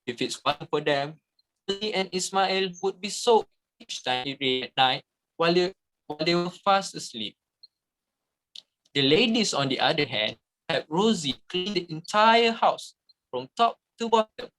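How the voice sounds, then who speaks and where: A man narrating a story as a scripted dramatic reading, with theatrical, deliberate emphasis. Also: sits high, expressive, fairly bright tone, low-quality recording.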